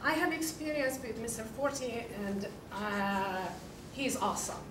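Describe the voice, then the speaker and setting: High-voiced parent woman, echoey hall. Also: animated, a little slow. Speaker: parent speaking at public comment. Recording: distant mic, echoey room, noisy.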